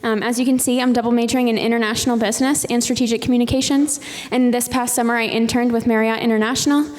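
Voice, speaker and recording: A woman's voice: fairly high pitch, steady tone, fast talker, darkish tone. Upbeat young woman, echoing hall. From North Carolina. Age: 20s. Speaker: student panelist. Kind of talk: panel discussion. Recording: long hall reverb.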